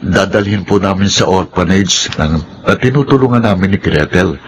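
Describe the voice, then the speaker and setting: An actor playing a devoted young husband in a radio drama, earnest, gentle and deferential. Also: male voice, low voice, animated, moderate pace, background noise. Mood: respectful, glad.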